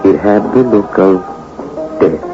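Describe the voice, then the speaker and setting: An actor playing a sinister host of a horror radio show, narrating in a slow, menacing, gloating way. The recording is dull, like an old radio broadcast. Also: male voice, sits low, over music. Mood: ominous. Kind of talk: 1950s radio drama narration.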